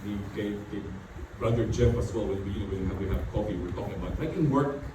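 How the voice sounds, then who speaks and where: A man's voice: on the low side, quick pace. A middle-aged man whose first language is not English, preaching a sermon as pastor and repeating phrases with frequent "you know" fillers. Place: church hall. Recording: very echoey hall, far from mic, background noise.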